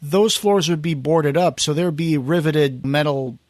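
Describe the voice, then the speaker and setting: A man's voice: on the high side, normal speed, a little mellow, no room sound, studio quality. A man hosting a podcast, casually recounting film history in a conversational storytelling way and quoting people as he goes. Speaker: podcast host.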